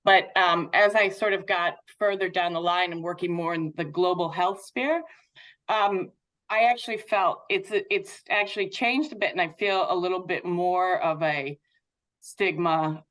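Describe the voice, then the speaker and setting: A woman's voice: on the low side, unhurried, a bit bright. A North American woman speaking as an interview guest, reflecting candidly and informally as she thinks aloud. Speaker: patient advocate guest.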